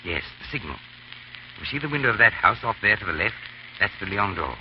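Grave gentleman, deep, old radio. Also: very animated. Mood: grave, reassuring. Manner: measured, grave. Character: steady, loyal English gentleman escort. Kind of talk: 1930s radio drama acting. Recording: muffled, hissy 1930s radio recording.

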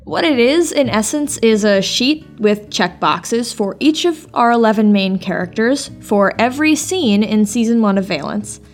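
A woman's voice: a little high, lively intonation, normal speed, few pauses. Candid woman podcaster over music. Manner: candid, self-deprecating, playfully dramatic. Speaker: podcast co-host and production organizer. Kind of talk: conversational podcast narration.

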